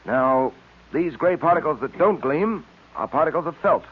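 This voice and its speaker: An American actor playing a confident, brainy police detective in an old radio serial, explaining things with composure. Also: male voice, on the high side, lively intonation, normal speed, slightly rough. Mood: instructive, composed. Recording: tinny, band-limited old radio recording.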